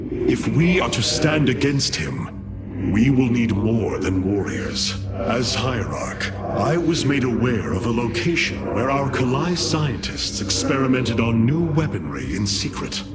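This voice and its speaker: A man's voice: mid-range voice, very animated, unhurried. A man voicing a resolute, stately alien warrior leader in a video game, speaking in a solemn, formal, commanding way. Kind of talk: dramatic sci-fi game acting. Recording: loud music under the voice. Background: orchestral game music.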